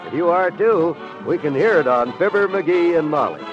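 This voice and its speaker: A man's voice: on the high side, lively intonation, moderate pace. An American man announcing on 1950s radio with polished, emphatic, scripted salesmanship. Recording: dull vintage radio recording. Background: music.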